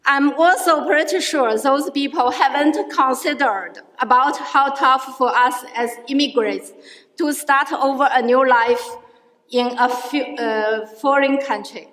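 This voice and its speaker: Middle-aged woman with a Chinese accent, high voice, careful, halting rally speech, echoey hall. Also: fairly bright tone. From China. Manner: halting, careful, with frequent restarts. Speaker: adult education student speaking at a rally. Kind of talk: prepared public speech. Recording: echoey hall with long reverb.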